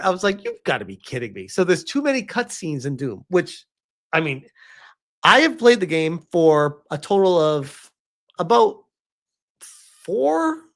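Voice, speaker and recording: A man giving casual livestream commentary on gaming news as a streamer, informal and incredulous, with halting, emphatic phrasing and rhetorical questions. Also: on the high side, fairly bright tone.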